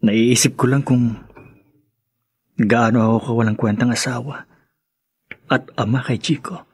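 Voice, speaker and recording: A man playing a remorseful, loving husband and father in a Filipino radio drama, speaking earnestly and tenderly with a husky voice. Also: mid-range voice, fairly even intonation, a little slow. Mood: remorseful, grateful.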